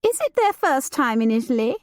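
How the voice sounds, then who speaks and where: A flighty young noblewoman, playful and romantic, in a high and lively voice, put on by a woman reading the story aloud. She talks in a lilting, gossipy way. The recording is dry and close. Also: fairly quick, no echo. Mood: chatty.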